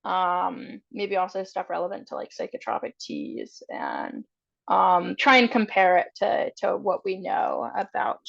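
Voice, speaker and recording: A woman thinking aloud haltingly and casually as a player in a tabletop roleplaying game. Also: medium pitch, sing-song, gravelly, muffled recording. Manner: hesitant, halting.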